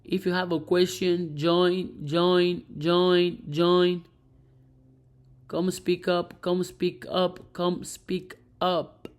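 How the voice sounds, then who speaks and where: A woman hosting a motivational live stream, chanting affirmations and answering questions in a slow, repetitive way. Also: on the low side, flat delivery. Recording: echoey room.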